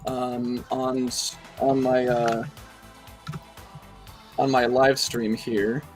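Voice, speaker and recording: Guy cohosting a stream, average pitch, lots of ums and uhs, kinda apologetic. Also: level tone, very slow, soft background music. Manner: hesitant, many fillers, apologetic. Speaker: co-host of a live stream. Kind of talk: casual live-stream chat.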